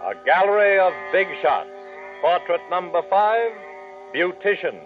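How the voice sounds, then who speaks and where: A male radio announcer with a high, swooping delivery reads dramatic titles in 1940s style. The recording is muffled, with music clearly behind him. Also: animated, unhurried. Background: prominent music.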